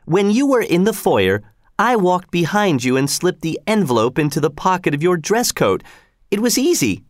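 A proud older theater lady, played by a male narrator, speaks high and swooping. She is emphatic and easily flustered, like a character from a storybook reading, in a dry close recording. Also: big pitch swings, moderate pace, no echo. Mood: matter-of-fact. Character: indignant older French woman, proud theater attendant.

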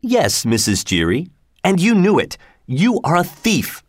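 A pompous, irritable opera manager, acted out by a male narrator. He talks with lively swings and accusing emphasis in a dry storybook reading. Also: high-pitched, a little slow, no echo, pitched above the performer's own voice, heavily acted.

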